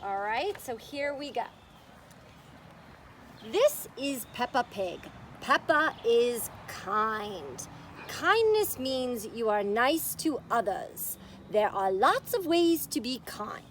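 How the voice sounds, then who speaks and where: A woman's voice: on the high side, sing-song, takes it slowly, fairly bright tone, a little hiss or hum. A woman presenting a children's story time, reading aloud and giving simple, encouraging explanations. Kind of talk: children's storytime read-aloud and craft instruction.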